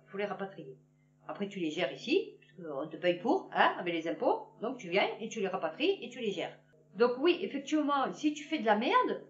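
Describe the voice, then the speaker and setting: A woman's voice: fairly high pitch, roomy sound, far from the mic, lo-fi sound. A woman from Marseille with a Marseille accent, ranting in a casual, blunt and slangy way and laughing at her own jokes. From Marseille, France. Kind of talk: casual political rant to camera.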